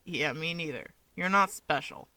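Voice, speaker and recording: A woman voicing a smug, sardonic young man who likes to needle his rival, deadpan and dismissive, in a fan fiction audiobook. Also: low-pitched, measured pace, dry recording, voice pushed down, exaggerated voice. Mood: unimpressed.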